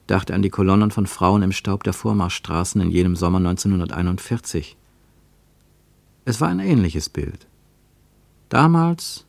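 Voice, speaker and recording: Male narrator, lowish voice, measured descriptive radio drama reading, dry studio. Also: vocal fry, darkish tone, no room sound. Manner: measured, descriptive, building long lists.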